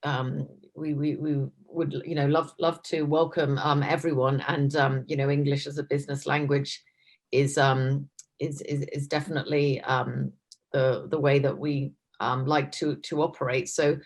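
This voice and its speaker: A woman's voice: deep voice, level tone, normal speed. A young woman, a course tutor, answering on a video call, hesitant with many fillers and restarts. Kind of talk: answering on a webinar.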